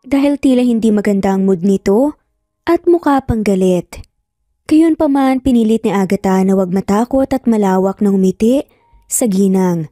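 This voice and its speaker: A woman's voice: medium pitch, normal speed, warm tone, no room sound, clean studio sound. A woman narrating a scripted dramatic story as its storyteller. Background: background music.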